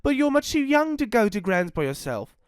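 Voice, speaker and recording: A fretful, protective storybook mother, gentle but firm, as a narrator lifts their voice for her. The lines sit a bit above the reader's usual pitch, lively in tone and recorded dry and close. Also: fairly quick, smooth, no room sound, natural voice. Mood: worried. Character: anxious, caring mother.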